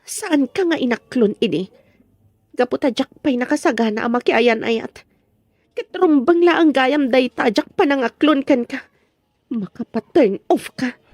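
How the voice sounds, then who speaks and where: A woman's voice: high voice, expressive, a little slow, a little mellow, dry recording, studio quality, exaggerated voice. A woman acting in an Ilocano drama as distressed, argumentative women, exclaiming emotionally with a Filipino Ilocano accent. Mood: scolding.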